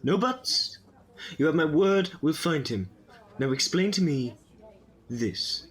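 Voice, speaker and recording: A man's voice: fairly high pitch, very animated, takes it slowly. A man playing a quirky, eccentric time-traveling adventurer in an audio drama, speaking in a whimsical, rambling way. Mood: commanding. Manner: whimsical, rambling, self-interrupting.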